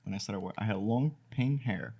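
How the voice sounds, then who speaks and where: A man's voice: fairly low pitch, normal speed, mellow, husky. Easygoing young man, Ukrainian accent. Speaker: podcast guest. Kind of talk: casual chat.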